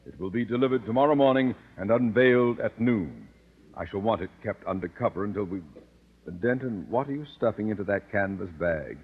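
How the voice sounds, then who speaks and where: A man's voice: on the low side, lively intonation, fairly quick, husky. A man playing a pompous, irritable, scheming middle-aged principal in a 1950s radio sitcom. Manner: pompous, blustering. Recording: muffled, noisy 1950s radio recording.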